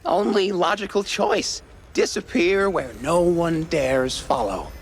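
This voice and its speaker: A man voicing a cynical, guilt-ridden rich mouse in an animated noir game, with a theatrical, evasive, hesitant delivery. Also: sits high, slow, a little hiss or hum, exaggerated voice. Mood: defensive.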